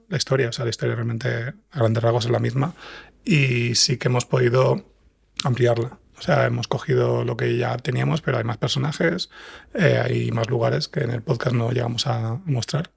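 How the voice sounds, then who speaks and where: A man with a Spanish accent from Spain, with a gravelly, husky voice, chatting casually as a guest writer in an interview. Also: medium pitch. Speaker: guest screenwriter and fiction podcast writer.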